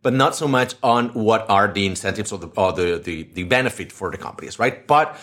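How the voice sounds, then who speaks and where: Male podcast host, low-ish voice, chatty and loose, lots of restarts. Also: lively intonation, a little fast, a bit bright. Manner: chatty, many restarts and fillers. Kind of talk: casual interview. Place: Madrid, Spain.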